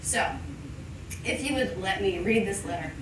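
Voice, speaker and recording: A woman who teaches English, giving a live thank-you speech to a party crowd, warm and laughing often. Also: medium pitch, moderate pace. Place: large event space. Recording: roomy, far from the mic, noisy.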